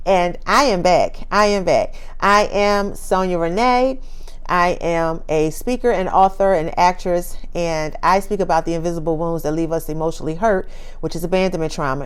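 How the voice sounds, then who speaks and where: A woman's voice: on the low side, clean tone. A woman in her fifties hosting her own channel, talking casually and upbeat, laughing often and repeating phrases for emphasis. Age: 50s. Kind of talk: casual talk to camera.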